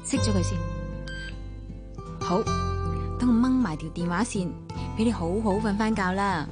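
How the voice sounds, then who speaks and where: An actress playing a concerned, reflective woman in a radio drama, speaking soft, natural Hong Kong Cantonese. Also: medium pitch, normal speed, close to an everyday voice. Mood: reflective, gentle. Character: concerned, reflective woman speaking to a businessman and narrating her thoughts. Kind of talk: Cantonese radio drama acting with inner narration. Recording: music under the voice.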